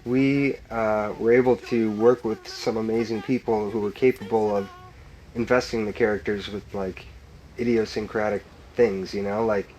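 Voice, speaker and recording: A man's voice: lowish voice, fairly even intonation, normal speed, a little mellow, poor audio. A man, a filmmaker, chatting enthusiastically through a commentary track and filling his talk with "like" and laughs. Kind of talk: director's commentary chat.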